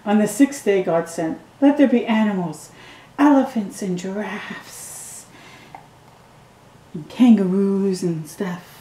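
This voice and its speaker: A middle-aged woman reading a picture book aloud to children at home, slowly and gently, with excited asides to the viewer. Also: mid-range voice, reverberant. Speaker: storytime reader. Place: living room at home.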